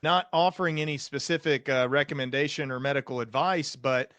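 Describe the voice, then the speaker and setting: A man's voice: medium pitch, fairly even intonation, a little fast, a bit bright, no room sound. A man hosting a conversational interview as a psychiatrist, talking in an earnest, explanatory way. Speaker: podcast host, psychiatrist.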